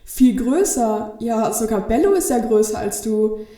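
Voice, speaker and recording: A woman's voice: on the high side, expressive, unhurried, warm tone, echoey room. A woman playing a curious, plucky six-year-old girl with an eager, exclaiming manner in a children's radio play. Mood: curious, amazed.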